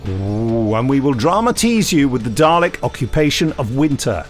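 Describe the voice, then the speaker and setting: A man's voice: medium pitch, sing-song, moderate pace, faint music behind. Dry-witted man co-hosting. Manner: dry, self-mocking wit. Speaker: podcast co-host. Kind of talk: casual podcast banter.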